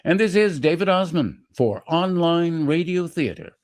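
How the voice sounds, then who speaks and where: A man's voice: fairly high pitch, lively intonation, measured pace. A man announcing in a formal, scripted radio sign-off style.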